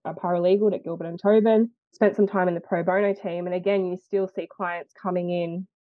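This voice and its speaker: A young Australian woman from New South Wales speaking as an interview guest, earnest and reflective, with thoughtful pauses. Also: on the low side, no room sound, dull audio. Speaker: lawyer and guest. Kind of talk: interview, personal story.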